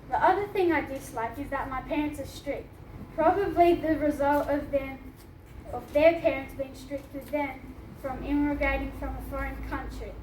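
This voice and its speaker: High-voiced teenage girl reading in a hall. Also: moderate pace, darkish tone. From Australia. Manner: earnest, with small laughs. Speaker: student reading her story. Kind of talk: reading a personal essay aloud. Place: hall at a book launch. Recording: echoey hall from far off, noisy.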